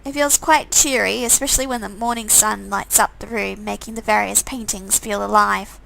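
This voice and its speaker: A woman's voice: mid-range voice, steady tone, moderate pace, creaky, fairly bright tone, rough recording. A woman reading a visual novel game aloud, with sarcastic, amused asides in a casual style. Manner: sarcastic, amused commenting between read lines.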